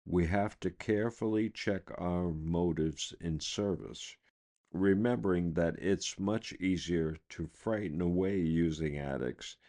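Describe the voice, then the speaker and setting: A man reading a daily recovery meditation aloud in a measured, deliberate way. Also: deep voice, darkish tone. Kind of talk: slow read-aloud meditation.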